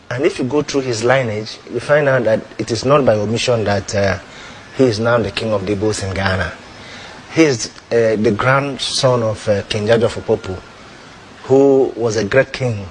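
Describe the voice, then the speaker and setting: Man interviewed over background noise. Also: medium pitch. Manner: halting, formal praise. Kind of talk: unscripted testimonial.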